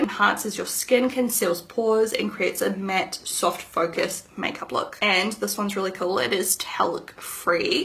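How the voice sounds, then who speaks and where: A woman's voice: medium pitch, vocal fry, a bit bright. A woman in her thirties, a beauty vlogger chatting casually to camera in an upbeat, rambling way, slightly nasal from hay fever. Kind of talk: casual makeup review to camera.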